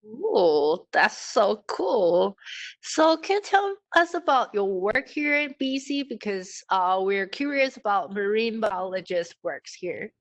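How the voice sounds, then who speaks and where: A woman's voice: mid-range voice, big pitch swings, takes it slowly. A woman hosting an online talk for an aquarium, welcoming and a little hesitant, with fillers, over a video call. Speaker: aquarium host.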